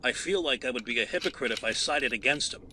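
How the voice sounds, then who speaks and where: An actor playing a frank, reasonable crime-fighting colleague in an audio drama, earnest and articulate. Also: male voice, medium pitch, expressive, fast, pushed and bright, slight background noise.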